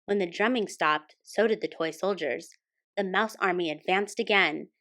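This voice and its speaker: A woman hosting a storytime and reading a children's picture book aloud as expressive scripted narration. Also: fairly low pitch, moderate pace. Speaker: storytime host and reader.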